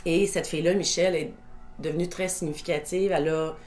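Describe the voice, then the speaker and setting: A woman in her fifties with a Québécois French accent, recounting her own life story in a measured, reflective way during a documentary interview. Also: fairly low pitch, fairly even intonation, darkish tone, faint music behind. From Quebec.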